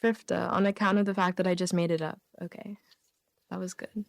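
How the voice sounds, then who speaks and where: A woman's voice: lowish voice, little pitch movement, a little fast, gravelly, dry recording, studio quality. A woman guesting on a comedy radio show, reading out written jokes with casual asides.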